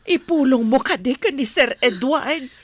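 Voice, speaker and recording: A woman's voice: sits high, lively intonation, a little slow, no echo. A woman with an Ilocano Filipino accent playing a loyal, protective, scolding household nanny in a radio drama. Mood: advising.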